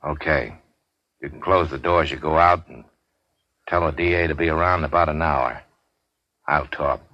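An actor playing a weary, sardonic gangster in a 1940s radio drama, terse and slangy. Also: male voice, extremely low pitch, steady tone, moderate pace. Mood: scheming, terse. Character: weary hard-boiled gangster, wounded, sardonic and unafraid. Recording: dull 1940s radio recording.

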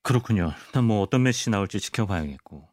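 A man's voice: on the low side, lively intonation, quick pace, a little mellow, no room sound, studio quality. A man hosting a current-affairs radio show in a conversational, casual, bantering way.

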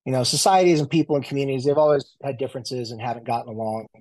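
A man's voice: mid-range voice, fairly even intonation, rapid-fire, a little mellow. A man in his forties, a therapist, talking loosely in a casual interview with many fillers and restarts. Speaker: guest, family and marriage therapist.

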